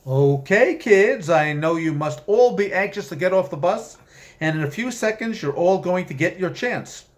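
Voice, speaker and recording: Man playing a loud, chirpy demon tour guide. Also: sits high, expressive, normal speed, voice pushed up. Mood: falsely cheerful. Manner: loud, exaggerated cheerful announcing. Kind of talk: short story reading.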